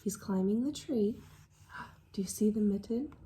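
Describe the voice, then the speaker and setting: A woman reading a children's storybook aloud like a teacher at storytime, expressive and engaging young listeners. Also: mid-range voice, a little slow, slightly rough. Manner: expressive, drops to hushed excited asides with audible gasps.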